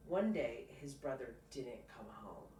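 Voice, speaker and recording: A woman's voice: extremely low pitch, measured pace. A woman reads a children's picture book aloud expressively, stopping now and then for casual comments of her own. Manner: expressive, stops to comment informally. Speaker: storybook reader. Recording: roomy, distant mic, faint background noise.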